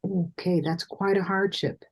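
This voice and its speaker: A woman's voice: fairly low pitch, fairly even intonation, measured pace, creaky, slightly rough, poor audio. A woman hosting an interview show, introducing her guest and asking questions in an emphatic, encouraging way. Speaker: show host. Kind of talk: hosted interview.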